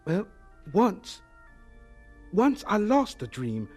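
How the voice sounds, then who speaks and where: Earnest, humble male scientist for radio drama; higher voice, very animated, halting with emotion. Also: husky, soft background music, exaggerated voice. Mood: earnest, resolute. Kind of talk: audio drama acting.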